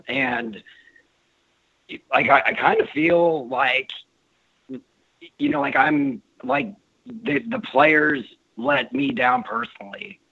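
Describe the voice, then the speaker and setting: A man calling in to a radio show, losing his voice, talking haltingly with many fillers. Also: mid-range voice, lively intonation, gravelly, slightly rough, dry recording. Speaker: caller. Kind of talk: call-in radio talk. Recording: dull dry phone call audio.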